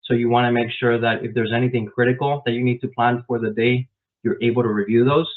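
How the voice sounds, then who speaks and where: A man raised in Miami answering interview questions about his work as a software developer, explaining step by step with frequent "um" fillers, over a video call. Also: mid-range voice, level tone, quick pace. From grew up in Miami, Florida. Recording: tinny phone-like online call.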